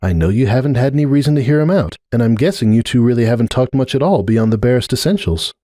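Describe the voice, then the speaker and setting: An earnest cartoon pony mare, acted out by a male reader in a fan story reading. The voice sits well above a man's usual talk and swings widely in pitch as she argues with insistent, exasperated emphasis. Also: animated, fast talker, warm tone, no room sound, clean studio sound, lightly acted. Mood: pressing, insistent. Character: earnest, exasperated female cartoon pony pleading her case.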